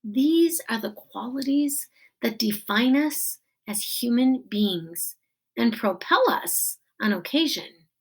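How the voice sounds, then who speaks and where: Storybook school principal read by a woman: earnest, moved, ceremonial award-speech delivery, very measured pace. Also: fairly high pitch, animated, breathy edge, full character voice. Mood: earnest, solemn. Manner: slow, weighty, ceremonial.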